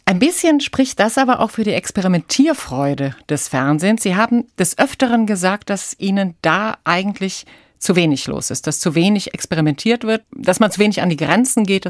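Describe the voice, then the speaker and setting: A fairly low-voiced woman hosts an interview. Her phrasing is deliberate and formal, yet conversational. The recording is dry, as in a studio. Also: expressive, dry recording. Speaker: radio interview host. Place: quiet, dry radio studio.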